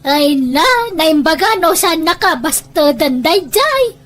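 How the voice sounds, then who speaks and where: A woman plays a sharp-tongued, inquisitive village wife in a rural drama. Her voice is extremely high and unhurried as she nags and pries. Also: expressive, heavily acted. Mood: nosy. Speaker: voice actor in an Ilocano audio drama. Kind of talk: dramatic dialogue.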